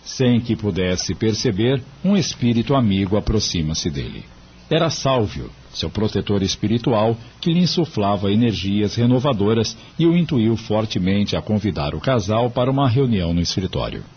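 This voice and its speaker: A man's voice: lowish voice, very animated, normal speed, slightly rough. A Brazilian man narrating a scripted audio drama story. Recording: muffled audio with background noise.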